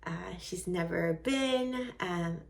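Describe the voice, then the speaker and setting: Woman in her forties, light Venezuelan accent, earnest vlogger with a lowish, gravelly voice, a little off-mic. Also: takes it slowly, recorded from a distance. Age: 40s. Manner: earnest, heartfelt, with soft laughs. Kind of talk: personal vlog talk to camera. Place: at her desk at home.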